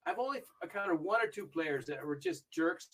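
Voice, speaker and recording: An older man with a fairly high voice talks in a chatty, storytelling way as a podcast guest. He grew up in Argentina and perks up when recalling famous matches. He sits a little back from the microphone, so he sounds slightly distant. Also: animated, brisk, fairly bright tone, husky. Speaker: interview guest, video review manager. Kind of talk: casual podcast interview.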